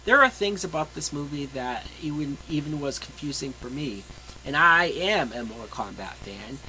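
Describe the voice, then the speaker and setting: A man's voice: on the high side, lively intonation, moderate pace, bright, forceful tone, low-quality recording. A man reviewing a movie in a casual, opinionated way, with emphatic, blunt and sweary delivery. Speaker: film reviewer.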